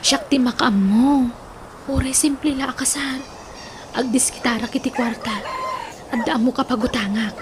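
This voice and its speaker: A determined young Ilocano daughter acted by a woman, high-pitched and earnest. She speaks insistently in a radio drama, with clear noise on the recording. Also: normal speed, pauses often, lightly acted. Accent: Filipino, Ilocano. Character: determined young daughter eager to marry her boyfriend.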